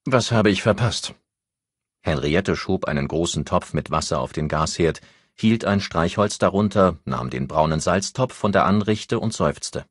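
A man with a very low, husky voice reads a novel as an audiobook narrator. The recording is dry and close, with scripted literary delivery. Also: moderate pace, no room sound.

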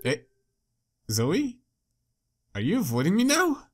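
A man's voice: sits high, huge pitch swings, slow pace, a little hiss or hum, pitched above the performer's own voice, heavily acted. A man voicing a cautious, worried young female journalist in a visual novel game, hesitant and pleading. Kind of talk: reading visual novel dialogue aloud. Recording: game music behind.

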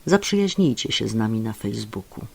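A man's voice: lowish voice, big pitch swings, brisk, creaky, a little mellow, slightly rough. A man reading scripted closing credits and announcements as a radio announcer.

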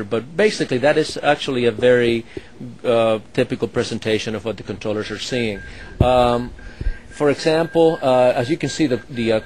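A man who supervises air traffic controllers and flies, explaining the work in a halting way, with many fillers and restarts. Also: medium pitch. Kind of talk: explaining his work to an interviewer.

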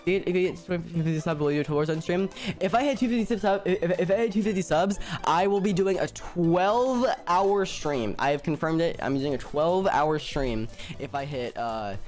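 A man's voice: on the high side, normal speed, darkish tone. A young man live-streaming a game and chatting with viewers casually, stuttering often and repeating words. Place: home room, indoors. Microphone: headset. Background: music playing.

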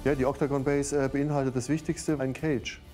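A calm male coach speaking straightforwardly to camera. His voice sits at a middle pitch. Soft music plays behind him. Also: brisk. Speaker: fight coach. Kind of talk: reality show interview.

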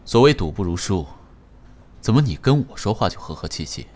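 An actor playing a composed, calculating, wealthy chairman in a radio drama, measured and formal. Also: fairly low pitch, very animated, darkish tone. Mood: calm, dry. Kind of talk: Chinese radio drama acting.

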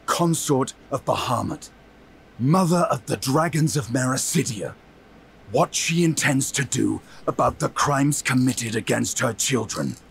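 A grim elf warrior for a fantasy game cutscene. His delivery is stern, proud and formal, with a creaky grain to the voice and some noise behind it. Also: mid-range voice, expressive, a bit bright. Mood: challenging. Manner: stern, formal, measured declamation. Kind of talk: scripted video game cutscene acting.

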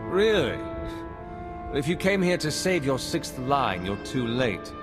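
Clipped military agent, loud music. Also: male voice, medium pitch, very animated, slow. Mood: skeptical. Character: brisk, skeptical male military intelligence agent. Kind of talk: video game cutscene acting. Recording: loud music over the dialogue.

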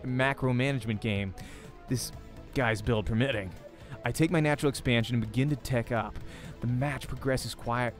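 A young man hosting a let's play, reading a visual novel aloud in the first person with dramatic storytelling. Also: medium pitch.

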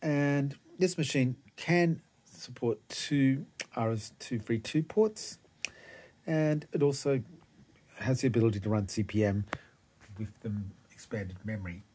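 A middle-aged Australian man giving a casual, unscripted hands-on demo in halting phrases, recorded on a handheld phone indoors. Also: medium pitch, a little mellow, slightly rough. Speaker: retro computer hobbyist presenter. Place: small cluttered room indoors.

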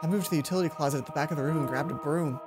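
A man's voice: on the high side, very fast. A young man reading a visual novel aloud expressively as a let's play host, with asides of commentary. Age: young adult. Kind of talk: expressive read-aloud narration and game commentary. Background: game music.

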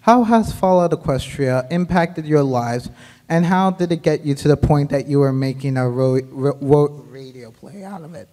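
A man haltingly asking a question as an audience member at a convention. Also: on the high side. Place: large convention meeting room. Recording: loud reverberant audience microphone.

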